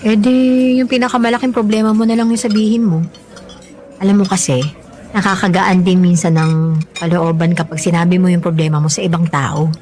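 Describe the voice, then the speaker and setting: An actress playing a kindly, concerned coworker who listens sympathetically in a Filipino radio drama, with conversational delivery. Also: female voice, lowish voice, level tone, a little fast, close to an everyday voice. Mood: curious, concerned. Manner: gentle, sympathetic, chatty. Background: background music.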